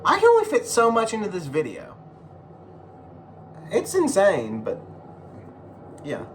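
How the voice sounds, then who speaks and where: A man with a fairly high voice hosts a casual video. His pitch moves around a lot, while the halting, rambling delivery stays very slow. He talks informally and swears freely. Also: wildly swooping pitch, slight background noise. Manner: profane, informal. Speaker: YouTube host.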